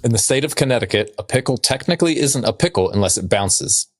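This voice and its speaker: A man's voice: mid-range voice, animated, fast. A man co-hosting a podcast, reading out trivia and cracking jokes in a dry, casual way. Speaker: podcast co-host.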